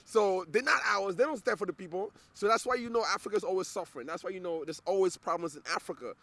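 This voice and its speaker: A man's voice: high-pitched, fast, sharp tone. A man from Nigeria, an interview guest, talking earnestly and restarting words as he searches for them. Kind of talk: casual interview conversation.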